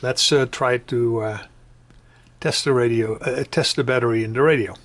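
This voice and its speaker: A man giving a casual, unscripted hobby tutorial, conversational and hesitant, often pausing mid-sentence. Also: medium pitch, fairly even intonation, a bit bright. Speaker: hobbyist demonstrating a build. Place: indoors at home.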